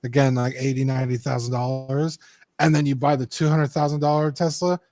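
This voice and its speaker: A man's voice: mid-range voice, little pitch movement, brisk, darkish tone, no echo. A young man co-hosting a casual collectibles podcast, rambling with lots of ums and the odd swear. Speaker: co-host.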